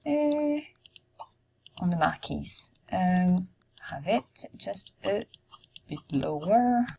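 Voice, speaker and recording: Low gravelly woman rambling thru a sims build, slow, hoarse, lots of ums. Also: very animated, warm tone, slight background noise. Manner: musing aloud, halting, frequent ums. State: losing her voice. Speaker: Sims content creator. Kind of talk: casual gameplay commentary while building.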